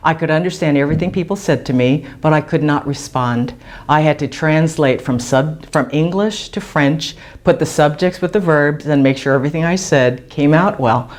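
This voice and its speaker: A woman, a teacher, reflecting on her own language learning in a measured way. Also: low-pitched, darkish tone. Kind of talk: reflective personal testimony.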